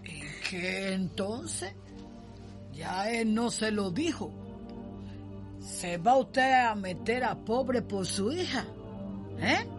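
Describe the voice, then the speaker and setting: An actress playing a blunt, probing servant woman with a Cuban accent and husky voice in a radio soap opera. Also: mid-range voice, lively intonation, drawn-out words, long pauses, over music. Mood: insistent. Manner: pointed questioning in folksy speech. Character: blunt, probing servant woman confronting her young mistress.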